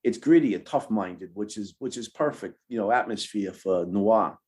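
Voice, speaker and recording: Low-voiced Brooklyn man, halting talker. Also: expressive, a little mellow. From Brooklyn, New York. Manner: halting, repeats words and phrases. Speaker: author being interviewed. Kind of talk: casual conversational interview.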